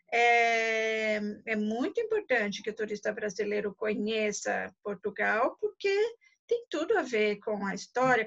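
Higher-voiced Brazilian woman, muffled audio. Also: a bit bright. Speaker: tour guide. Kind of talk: conversational interview answers.

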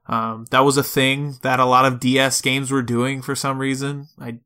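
A man's voice: mid-range voice, level tone, normal speed, sharp tone, clear, resonant tone. A man chatting casually as co-host of a gaming podcast, informal and prone to casual swearing.

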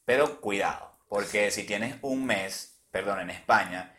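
A man's voice: fairly low pitch, unhurried, sharp tone, echoey room. A young man with a Venezuelan accent chatting casually as co-host, talking ideas through with frequent restarts and a playful edge. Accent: Venezuelan Spanish. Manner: talks things through, lots of restarts, playful. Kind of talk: casual chat.

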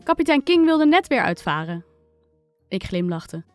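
Female children's storyteller, first-person narration, expressive and dramatic, light music bed. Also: medium pitch, measured pace. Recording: music under the voice.

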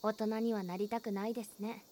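A woman's voice: mid-range voice, level tone, brisk, slight background noise, lightly acted. An actress voicing a frank, cheerful teenage schoolgirl who talks candidly and teases a little. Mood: candid, teasing. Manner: candid, gently teasing. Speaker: student. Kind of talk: voice drama acting.

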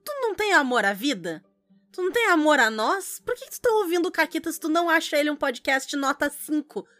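Woman, podcast co-host, high pitch, dry close sound, playful mock outrage. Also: very animated, a little fast, fairly bright tone, dry recording. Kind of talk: casual podcast chat.

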